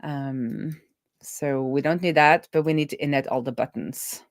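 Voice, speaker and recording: A woman's voice: rumbling low, measured pace. A woman live-streaming as a web developer, casually thinking aloud as she talks through each coding step. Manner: thinking aloud, talking through steps to herself.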